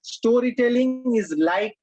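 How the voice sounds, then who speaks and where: A man from Sri Lanka who speaks English as a second language, leading a speech-writing workshop with animated emphasis and frequent direct address to his audience. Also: extremely high pitch, slow pace, no room sound, highs cut off. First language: Sinhala or Tamil. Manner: animated, emphatic, repeats "my dear friends". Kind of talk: online workshop lecture.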